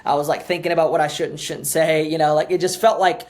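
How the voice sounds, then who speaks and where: A man's voice: a little high, little pitch movement, quick pace. A man in his thirties, a pastor raised in the US after early childhood in Ukraine, talking casually as a podcast guest with lots of fillers and restarts. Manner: chatty, many fillers and restarts.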